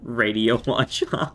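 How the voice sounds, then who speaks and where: A man with an Australian accent and a croaky voice, chatting playfully and joking while he streams a game. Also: medium pitch, fairly bright tone. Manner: joking, playful, self-mocking. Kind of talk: casual gaming chat.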